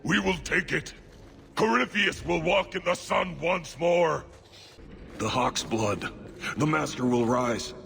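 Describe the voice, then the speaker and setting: An actor voicing a crazed, fanatical dwarf cultist in a video game, ranting fervently in a gravelly voice. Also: male voice, on the high side, takes it slowly, a bit bright, in a large hall, a little hiss or hum, full character voice. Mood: menacing zeal. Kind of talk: dramatic video game acting.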